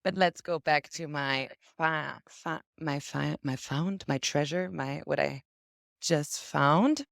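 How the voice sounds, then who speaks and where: A woman's voice: low voice, slow pace, vocal fry, dry recording, studio quality. A woman guest chatting casually on a video call, walking through a casting website and acting out an example search as she goes. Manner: chatty, acts out scenarios as she explains. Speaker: guest demonstrating a casting database. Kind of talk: casual explanatory chat.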